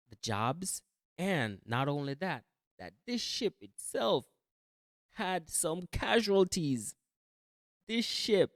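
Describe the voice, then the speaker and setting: A man's voice: a little high, dry recording, clean studio sound. A man hosting a casual reaction video, musing aloud in loose slang. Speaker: commentator host.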